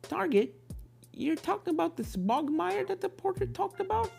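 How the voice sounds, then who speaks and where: An excitable little cartoon filly, acted out by a woman reading a story, pitched well above her narration. She exclaims and gets flustered easily, with swooping, lively pitch over background music. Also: moderate pace, creaky, full character voice. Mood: curious.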